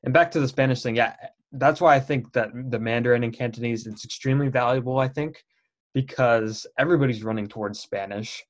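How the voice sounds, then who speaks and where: Young male interviewer, relaxed and halting, mid-range voice. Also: mid-range voice. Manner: friendly, hesitant, repeats words. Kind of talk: casual interview.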